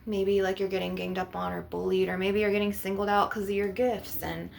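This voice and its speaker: Woman with creaky voice guiding healing session. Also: mid-range voice, level tone, brisk, darkish tone, a little hiss or hum. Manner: instructive, guiding breathing and visualisation. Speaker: spiritual healer hosting an ASMR channel. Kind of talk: ASMR energy-healing session.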